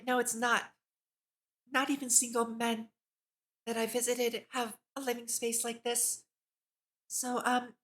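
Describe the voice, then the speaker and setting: Bright, high-pitched woman streamer. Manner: giggly, expressive, exclaims in reaction to the text. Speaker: VTuber streamer hosting a visual novel read-along. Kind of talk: casual livestream chat mixed with reading aloud.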